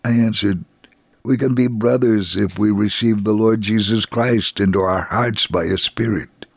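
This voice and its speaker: A man reading a scripted story for radio as narrator, solemn and measured, with a gravelly, husky voice. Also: on the low side, steady tone, no room sound.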